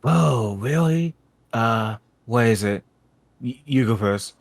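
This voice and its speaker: A man's voice: medium pitch, lively intonation, slow pace, gravelly. A man voicing an awkward, lovesick young troll who stammers nervously and swears in an amateur webcomic dub. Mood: nervous, earnest. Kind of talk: amateur dramatic reading of a webcomic.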